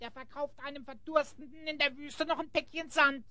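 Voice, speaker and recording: An actress playing a cheeky, sharp-tongued young adventurer's companion in a German children's audio drama, pert and dry-witted. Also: female voice, high voice, fairly even intonation, a little fast, dry recording. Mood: wry.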